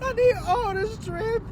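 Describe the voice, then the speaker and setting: Sobbing, swearing mother, very high voice. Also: background noise. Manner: profane, distraught, repeats herself. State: crying. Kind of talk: emotional vlog rant.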